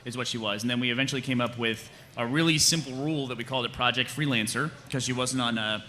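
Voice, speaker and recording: A man's voice: medium pitch, fast, sharp tone. A man talking off the cuff and jokingly on a live convention panel, answering audience questions as a writer. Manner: joking, self-deprecating.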